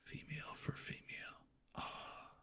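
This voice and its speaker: A man whispering softly in a casual, unhurried ASMR chat with viewers, close to the microphone. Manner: soft whispering and murmuring, unhurried. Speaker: ASMR live streamer.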